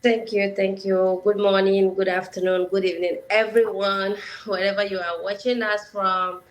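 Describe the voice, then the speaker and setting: A woman's voice: mid-range voice, flat delivery, normal speed. A woman from Benin, speaking as co-host on a live online talk. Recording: roomy echo, faint background noise.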